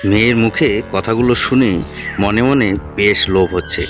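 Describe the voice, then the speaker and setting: A man's voice: lowish voice, normal speed, music in the background. A man narrating a scripted cartoon story.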